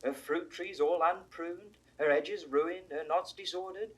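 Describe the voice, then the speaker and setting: A man's voice: high-pitched, a little slow, breathy edge, rough recording. An actor in a 1960s Shakespeare audio drama playing a discontented, questioning gardener's assistant who declaims his lines. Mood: indignant questioning.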